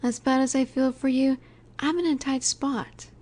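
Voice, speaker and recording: Soft, mellow, high-voiced female mafia boss acted by a woman. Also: fairly even intonation. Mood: gentle, consoling. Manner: soft, unhurried, soothing yet in command. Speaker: audio roleplay voice actor. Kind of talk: intimate scripted audio roleplay, addressed to listener.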